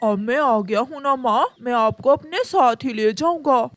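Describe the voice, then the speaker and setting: A woman's voice: high-pitched, unhurried, no room sound. A woman voicing a grieving, polite young male pigeon in a cartoon, earnest and plaintive. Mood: sorrowful.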